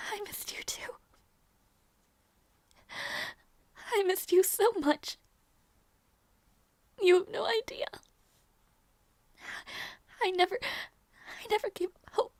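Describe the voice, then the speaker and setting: Casting: woman as tender young fantasy princess, very high soft voice, slow halting delivery, close dry sound, romantic audio drama. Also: no echo, exaggerated voice. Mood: tearful, tender. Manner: breathy, halting, soft, often dropping to whispers. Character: gentle, devoted young princess longing for her lost knight.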